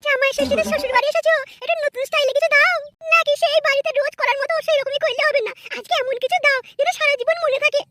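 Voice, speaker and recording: A woman voicing a bossy, demanding young village wife in a comic cartoon, with an extremely pitched-up voice. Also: fairly even intonation, racing, hardly any pauses, distant mic, background noise.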